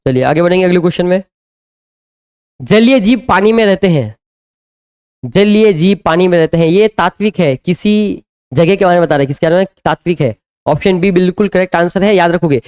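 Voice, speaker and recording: A man's voice: high-pitched, brisk, a little mellow, smooth. A man teaching a live online grammar lesson, quizzing students with repeated questions and answering them himself.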